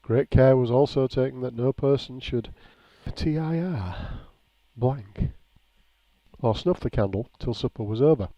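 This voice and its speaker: A man reading a nostalgic written memoir aloud in a measured, plain storytelling way. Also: mid-range voice. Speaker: reader of a written local-history account. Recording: dull, lo-fi, echoey room.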